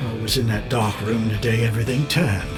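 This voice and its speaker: Actor as bitter London chancer over music. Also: male voice, lowish voice, steady tone, fairly quick, close to an everyday voice. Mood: self-pitying, wry. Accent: British, London. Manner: coarse, cursing, colloquial rambling. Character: bitter, boozy failed London photographer with grand ambitions. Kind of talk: audio drama narration and dialogue. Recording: radio drama with music underneath.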